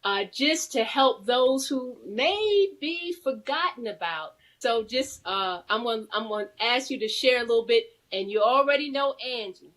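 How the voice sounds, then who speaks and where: A woman's voice: a little high, animated, moderate pace, few pauses, fairly bright tone. A woman co-hosting a live talk show, chatting and reading aloud in a playful, exuberant, informal manner. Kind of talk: lively live talk show chat, reading a guest bio.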